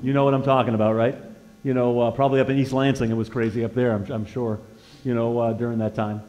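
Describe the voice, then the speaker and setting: Halting, conversational American preacher in his sixties. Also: mid-range voice, flat delivery, warm tone. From northeastern United States. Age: 60s. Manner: halting, repeats words as he searches for them; conversational, puts questions to listeners. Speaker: guest preacher. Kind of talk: informal sermon with personal testimony. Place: church sanctuary, indoors, at a lectern.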